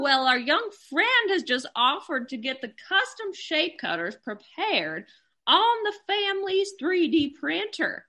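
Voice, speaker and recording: A prim, perky homemaker co-hosting an old-time radio cooking show. She gushes politely in a high, bright voice with lively swoops. Every phrase is presented to the folks at home. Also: exaggerated voice. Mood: perky, gushing.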